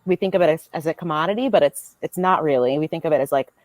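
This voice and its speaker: A woman's voice: sits low, quick pace, darkish tone, dry recording. A young American woman talking casually as a podcast guest, chatty with lots of fillers. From United States. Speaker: guest business owner.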